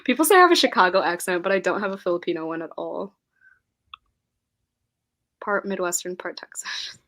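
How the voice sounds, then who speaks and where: A woman's voice: lowish voice, big pitch swings, brisk, fairly bright tone. A young woman hosting a casual live-stream book chat, giggly and scattered, reading viewer comments aloud. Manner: giggly, scattered, laughs often.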